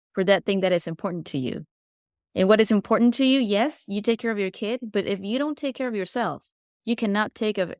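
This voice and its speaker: A woman's voice: medium pitch, no room sound, studio quality. A woman whose first language is Spanish, a podcast guest chatting casually and sometimes searching for words. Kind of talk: casual interview chat.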